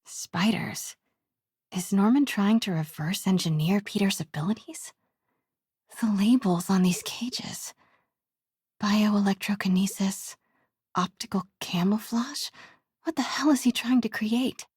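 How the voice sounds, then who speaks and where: A young woman reporter thinks out loud as she investigates in a video game. Her mid-pitched voice is soft and breathy, often hushed, with a caring, determined temper. The sound is close and dry. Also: measured pace, dry recording. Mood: hushed astonishment. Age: young adult. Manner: natural, musing aloud, often dropping to hushed murmurs. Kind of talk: video game exploration dialogue, musing to herself.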